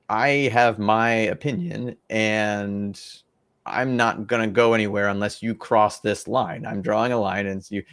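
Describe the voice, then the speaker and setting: A young man with a low, gravelly voice talks through an idea on a casual podcast. He rambles thoughtfully, circling back with fillers and restarts as he works out what he means. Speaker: podcast co-host.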